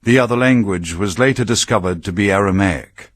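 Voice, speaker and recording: An actor playing a middle-aged medical supervisor dictating grave, formal clinical reports for a video game. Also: male voice, on the low side, brisk, dry recording, lightly acted.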